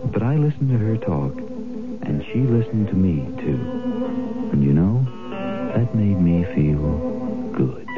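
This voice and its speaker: On a tinny old radio spot with loud plucked guitar, an earnest, reflective everyman. His low voice confides sincerely, with pauses. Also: expressive. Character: earnest, reflective ordinary man recalling a roadside encounter. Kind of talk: 1970s dramatized radio public service spot.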